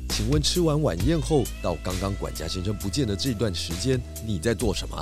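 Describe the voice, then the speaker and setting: A man voicing a calm, polite pig detective who asks questions patiently in a children's audio drama. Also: fairly low pitch, brisk, music in the background, close to an everyday voice.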